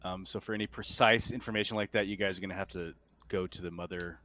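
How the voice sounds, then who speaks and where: A man with a fairly low voice runs a tabletop roleplaying game. He explains the rules and the scene in a plain, matter-of-fact manner. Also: steady tone, fast, pushed and bright. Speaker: game master.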